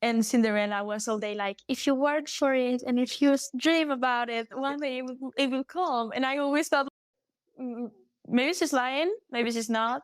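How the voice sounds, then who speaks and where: Casting: young Galician woman, Spanish accent, high-ish voice, lively guest, dry close sound. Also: smooth, no room sound. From Galicia, Spain. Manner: animated, laughs often, restarts her sentences. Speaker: guest singer. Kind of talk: casual interview chat.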